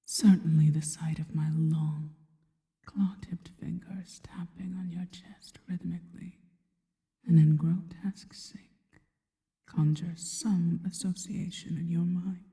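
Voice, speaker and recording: Casting: actress as adoring, sinister female spider creature for ASMR roleplay, low breathy whisper, very dark and soft, drenched in reverb. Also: fairly even intonation. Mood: reverent, teasing. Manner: slow, deliberate, intimate, formal phrasing. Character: seductive spider-woman monster, adoring, sinister, slow and reverent. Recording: long reverberant echo.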